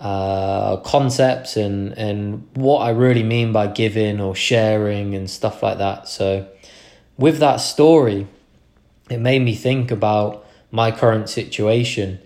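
A man's voice: fairly low pitch, steady tone, takes it slowly, darkish tone. A young man hosting a podcast, talking through a casual motivational monologue in an earnest, rambling way with frequent restarts. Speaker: podcast host.

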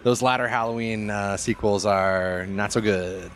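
A man's voice: lowish voice, fairly even intonation, a little slow, fairly bright tone. Playful, slangy man chatting. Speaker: show host. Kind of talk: casual chat about horror films.

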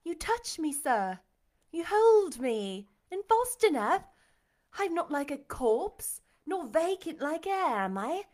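A woman voicing a tender, devoted young woman in an audiobook, soft-spoken, respectful and reassuring. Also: high-pitched, sing-song, takes it slowly, pitched above the performer's own voice, exaggerated voice. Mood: tender, joyful. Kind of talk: audiobook character acting.

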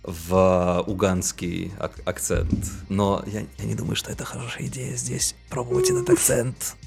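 A man's voice: lowish voice, big pitch swings, moderate pace, creaky, a little mellow, soft background music. A young adult man hosting a podcast, chatting casually and explaining with animation. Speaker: podcast host. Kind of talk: casual chat.

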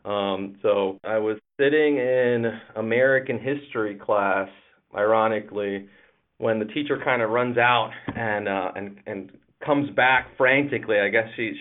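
A man's voice: medium pitch, narrow, tinny audio. A man in his thirties from Puerto Rico and the US East Coast, telling stories casually and self-deprecatingly as an interview guest. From Puerto Rico, Dominican Republic and US East Coast. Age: 30s. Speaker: podcast guest, Navy veteran in commercial real estate.

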